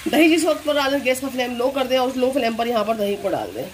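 Calm woman giving cooking steps. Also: high-pitched, fast talker, very noisy. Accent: Hindustani, Delhi area. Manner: calm instructive, repeats "here" and "this way". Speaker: cooking channel host. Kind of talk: step-by-step recipe voice-over.

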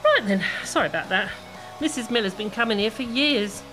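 A woman's voice: on the high side, lively intonation, brisk, music in the background, natural voice, poor audio. A woman playing a chatty, gossipy, bustling hair salon owner in an audio play, gushing and exclaiming. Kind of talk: amateur audio play acting.

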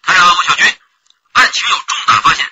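An actress playing an eager police investigator in a radio drama, speaking with excited urgency. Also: female voice, lowish voice, fast, close to an everyday voice. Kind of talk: Chinese radio drama acting. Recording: very noisy background.

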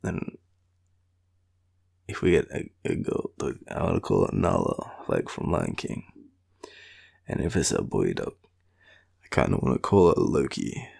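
A tired young Australian man murmuring an affectionate, rambling boyfriend roleplay in a deep, gravelly, breathy voice. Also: sing-song, unhurried, long pauses, a little mellow. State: tired, sleepy. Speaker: boyfriend roleplay audio performer. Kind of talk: intimate sleepy roleplay, ASMR-like rambling.

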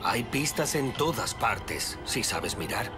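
A man playing an eager, smooth-talking, obsessive expedition leader in a cartoon, with a Latin American accent. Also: medium pitch, big pitch swings, takes it slowly, music in the background. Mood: mysterious.

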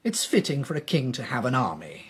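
An actor playing a calculating, diplomatic rival king, courtly and sly, with a husky voice in a historical audio drama. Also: mid-range voice, wildly swooping pitch, a little fast, exaggerated voice. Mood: slyly diplomatic. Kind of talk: historical radio drama acting.

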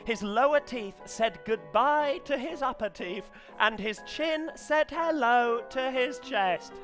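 An English man reads a comic story aloud at a slow, careful pace. His manner is hesitant and earnest, played completely deadpan, like an amateur novelist presenting his work. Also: very high-pitched, music in the background. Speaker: comedian reading as an amateur novelist character. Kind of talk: live comedy reading, deadpan storytelling. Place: small stage set indoors, before an audience. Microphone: headset.

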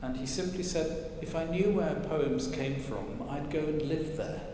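An older man preaching a sermon from a church lectern, wry and gently humorous as he tells stories. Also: on the high side, measured pace, a little hiss or hum. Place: large stone church, at a lectern. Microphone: lectern mic, far camera. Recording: echoey church with long reverb.

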